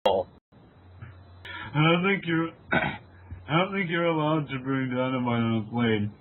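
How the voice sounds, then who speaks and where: Tinny audio with faint noise, man acting as cautious doubting friend, mid-pitched, amateur comedy drama. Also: unhurried, lightly acted. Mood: matter-of-fact, doubtful. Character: sensible, doubtful man questioning his reckless friend.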